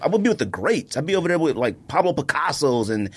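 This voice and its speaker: A man's voice: fairly high pitch, big pitch swings, fast, husky. A man with an American accent co-hosting a podcast, joking and exaggerating in casual, slangy banter. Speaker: co-host of a sneaker podcast.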